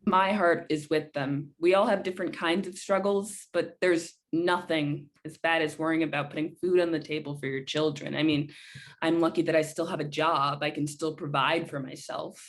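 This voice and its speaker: A woman's voice: deep voice, steady tone, moderate pace. A woman playing earnest, sympathetic Miss Morse. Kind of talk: documentary theater, acted as group discussion.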